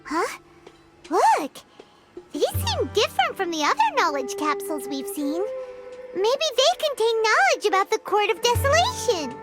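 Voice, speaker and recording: A small, excitable fairy companion from a game. The voice is very high and chirpy, and it leaps all over the place as it exclaims eagerly. Loud background music plays underneath. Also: female voice, a little slow, full character voice. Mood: excited.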